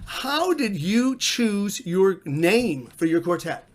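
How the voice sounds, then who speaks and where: A man's voice: sits high, big pitch swings, takes it slowly. A man hosting a conversational interview, putting questions to his guests. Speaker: interviewer, competition director.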